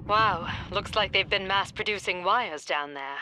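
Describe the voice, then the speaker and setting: A woman's voice: mid-range voice, measured pace, close to an everyday voice. Composed female mission partner played by a woman, noisy backdrop. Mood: composed, wry. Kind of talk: English video game cutscene acting. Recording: clear background noise over voice.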